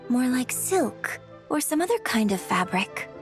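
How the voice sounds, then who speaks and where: A woman voicing a gracious, refined young opera singer in an animated game, polite and articulate. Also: on the high side, measured pace, soft background music. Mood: curious, admiring.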